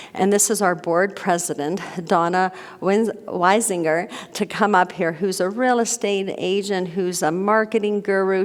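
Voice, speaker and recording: Low-voiced woman minister in a reverberant sanctuary. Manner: warm, gushing, rambling with repeated "so". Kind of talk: sermon welcome and announcements. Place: church sanctuary.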